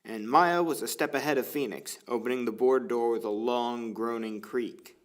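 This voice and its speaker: A man's voice: mid-range voice, normal speed. A man reading scripted story narration for an audio drama. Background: faint music.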